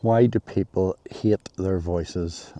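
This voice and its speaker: Deep slow-talking man. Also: fairly even intonation, mellow. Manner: rambling, hesitant, many restarts and fillers. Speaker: travel vlogger. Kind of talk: rambling casual vlog. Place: outdoors in nature on a walk. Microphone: handheld camera while walking.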